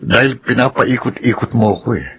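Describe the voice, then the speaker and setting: A man's voice: mid-range voice, fairly quick, a bit bright, husky. An actor playing a shrewd, guarded middle-aged father in a Filipino radio drama, with a Filipino accent. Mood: wary, sardonic. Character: shrewd, guarded stepfather, gentle with his son.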